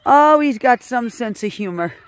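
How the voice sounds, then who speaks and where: A woman's voice: on the high side, animated, normal speed, a little hiss or hum. A woman filming a casual outdoor vlog, exclaiming in amazement and repeating her phrases as she narrates to viewers. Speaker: animal sanctuary host filming a vlog. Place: outdoors at a rural animal sanctuary.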